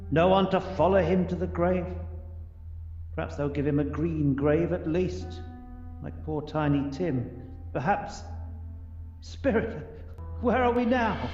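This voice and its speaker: An elderly miser in an old-style radio play. His voice is high for a man and drawn out very slowly, full of stammers and repeated pleas. It rings through a long, cavernous reverb. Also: a little mellow. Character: elderly miser, terrified and pleading, desperate to repent. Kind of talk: 1930s-style radio drama acting. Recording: muffled recording with long echoing reverb.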